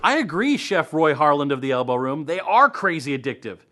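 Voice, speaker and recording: A man's voice: fairly high pitch, very animated, fairly quick, bright, forceful tone, a little hiss or hum. A man hosting a local web series, reading upbeat scripted news and event segments in a playful, tongue-in-cheek way.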